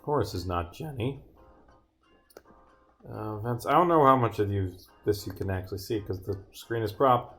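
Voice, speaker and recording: A man's voice: on the low side, animated, normal speed, pauses often, fairly bright tone. A male game developer talks casually on a livestream, half to himself. He mutters, exclaims and swears freely as he works, with faint game music under his voice. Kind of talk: casual livestream commentary while bugfixing, reading dialogue aloud.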